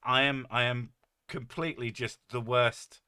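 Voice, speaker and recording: A man with a deep, boomy voice chats casually on a home stream, joking and rambling with the odd curse. His tone is bright and forceful, and his microphone sits well above his head, so he sounds a little set back from it. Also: fairly even intonation, a little slow, clean tone. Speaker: streamer host. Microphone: desk mic set well above his head.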